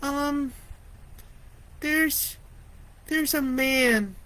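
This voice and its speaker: A man voicing a timid, frightened little girl in a storybook, halting and stammering weakly. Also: very high voice, voice pushed up, exaggerated voice. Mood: scared.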